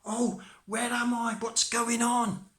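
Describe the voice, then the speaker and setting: Man putting on a high-pitched tiny plucky storybook boy. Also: measured pace. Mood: panicked. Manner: excitable, pleading. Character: tiny plucky boy, cheeky and quick-talking to save himself.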